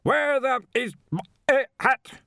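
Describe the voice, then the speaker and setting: A man voicing a pompous, blustering old wizard who heads a university, with a booming, imperious manner, in a storybook reading. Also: big pitch swings, a little fast, sharp tone, dry recording, studio quality, exaggerated voice. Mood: angry, bellowing.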